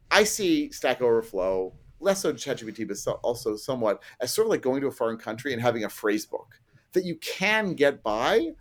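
A middle-aged man being interviewed as a guest, explaining his work as a trainer in a casual, self-deprecating way with illustrative asides. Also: medium pitch.

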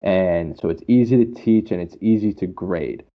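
Low-voiced man explaining casually, muffled sound. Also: normal speed. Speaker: English teacher. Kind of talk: casual conversational explanation with anecdotes.

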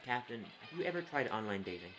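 A man playing an earnest, needy would-be sidekick and exasperated straight man in a dark comedic radio play, pleading and insistent. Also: mid-range voice, big pitch swings, fast, soft background music. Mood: grave.

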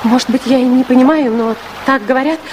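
An actress playing an anxious, candid nineteen-year-old sweetheart in a radio drama, confiding and emotionally open. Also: female voice, sits high, fast, close to an everyday voice. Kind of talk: 1960s Soviet radio drama acting. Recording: noisy 1960s radio recording, rain-like hiss.

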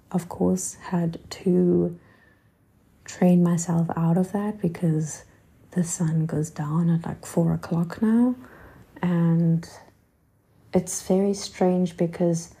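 A woman in her twenties hosting a podcast, musing casually in a rambling way with many fillers and soft whispered asides. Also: on the low side, creaky. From South Africa. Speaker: podcast host. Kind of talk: casual reflective monologue.

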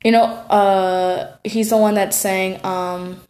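A woman's voice: medium pitch, slow pace. A young woman co-hosting a casual chat podcast, joking and telling stories with energy. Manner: joking, animated storytelling. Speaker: co-host. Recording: echoey room.

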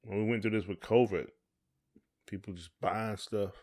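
A man's voice: fairly low pitch, steady tone, moderate pace, darkish tone, breathy edge. A man co-hosting a podcast, talking casually in folksy, colloquial phrasing. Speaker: podcast co-host. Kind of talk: casual conversation.

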